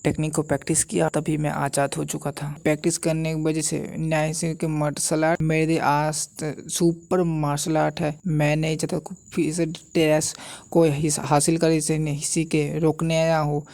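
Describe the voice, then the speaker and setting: A man's voice: a little high, completely flat delivery, normal speed, creaky, a little mellow. A man voicing a proud, scolding old martial arts master spirit with a boastful, condescending manner in a story recap. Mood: grave.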